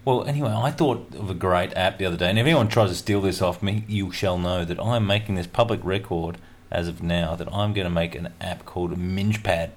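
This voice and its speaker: A man's voice: deep voice, a little fast, hardly any pauses. A man hosting a radio show, chatting and joking casually between tracks with lots of fillers. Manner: chatty, jokey and rambling, with many fillers.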